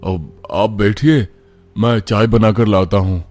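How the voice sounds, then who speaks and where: A man's voice: on the low side, steady tone, slow pace, a little mellow, soft background music. A man voicing an anxious but kind young man, hesitant and wary, in a horror cartoon story. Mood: kind, polite.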